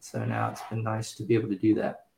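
A man's voice: on the low side, monotone, fast talker, gravelly, mellow. A man guesting on a talk show, chatting easily and laughing readily. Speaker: guest, ministry leader. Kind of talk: casual conversation. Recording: roomy sound with faint noise.

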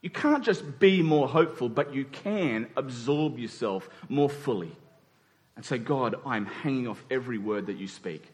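A man's voice: mid-range voice, expressive, moderate pace. A man preaching a conversational sermon in an informal way, acting out dialogue in everyday words. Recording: long hall reverb.

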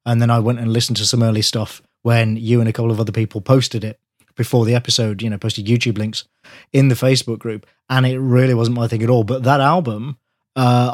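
A man's voice: medium pitch, fairly even intonation, brisk, no echo, studio quality. A British man co-hosting a podcast, talking thoughtfully with frequent hedges like "you know". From United Kingdom. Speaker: podcast co-host. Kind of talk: casual chat.

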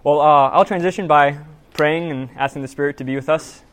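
A man preaching a sermon in a church, reading scripture aloud and then telling a story in an earnest, measured, conversational way. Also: on the high side, fairly even intonation, a bit bright. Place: church sanctuary.

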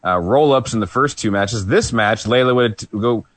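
A man's voice: fairly low pitch, moderate pace, no echo. A man co-hosting a podcast, recapping a wrestling match in casual chat, rambling with fillers and restarts. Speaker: podcast co-host.

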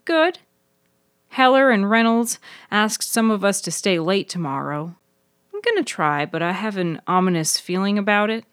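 A woman's voice: medium pitch, expressive, normal speed, a bit bright, dry recording, studio quality, pitched above the performer's own voice, lightly acted. A woman voicing an earnest, easily stung young woman who loves nature, in a fiction podcast. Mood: stung, agitated. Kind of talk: audio fiction character dialogue.

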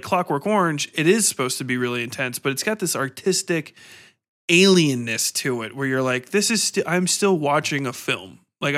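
A man's voice: mid-range voice, fairly bright tone. Rambling, informal man hosting a podcast. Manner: rambling, informal, thinking aloud. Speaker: podcast host and author. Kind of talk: casual conversational interview about horror fiction.

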